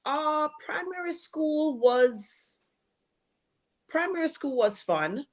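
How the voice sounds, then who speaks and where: A Jamaican woman in her forties, a guest telling stories about her childhood in an unhurried way. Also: high voice, animated. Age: 40s. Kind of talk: conversational interview.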